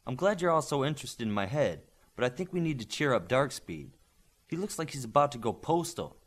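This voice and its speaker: A man plays an eager young male superhero in an audiobook. The voice is lifted and lively, telling things in an animated, friendly and slightly wry way. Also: medium pitch, brisk, voice pushed up. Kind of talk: audiobook character dialogue.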